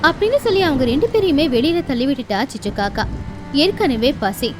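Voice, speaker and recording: A woman's voice: high voice, animated, fairly quick, background noise. A woman narrating a scripted children's moral story.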